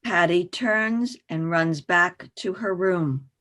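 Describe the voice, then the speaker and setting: Slow-paced woman reading stage directions. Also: on the low side, a bit bright. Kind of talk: scripted narration for a play reading.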